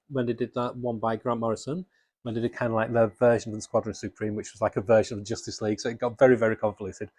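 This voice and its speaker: A man's voice: mid-range voice, darkish tone, dry recording. A man presenting unscripted fan commentary to viewers in a casual, rambling, enthusiastic way. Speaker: comics video presenter.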